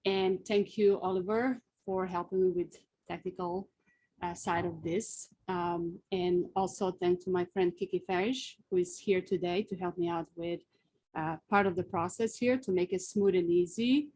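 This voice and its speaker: Woman, Serbian accent, 40s, sculptor, webinar talk, lowish voice, mellow tone, careful with fillers. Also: fairly even intonation, low-quality recording. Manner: careful, unhurried, with frequent fillers. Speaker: sculptor presenting her process. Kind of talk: live webinar presentation. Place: outdoors in her backyard.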